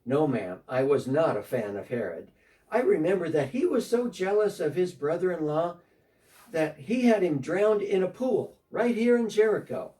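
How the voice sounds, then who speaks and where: Defensive chatty tax guy on the phone, a man doing the part, lively up-and-down voice, a bit far from the mic. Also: a little high, normal speed. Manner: one side of a phone call, defensive and talkative. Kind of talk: church dramatic reading.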